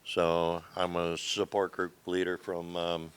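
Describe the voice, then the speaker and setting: A man from Indiana, a patient advocate, giving a halting self-introduction. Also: sits low, monotone, clean tone.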